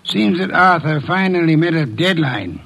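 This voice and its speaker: A man playing a pompous, fussy, indolent master detective in an old radio drama, speaking in formal, deliberate phrases. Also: fairly high pitch, animated, gravelly. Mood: dry, superior.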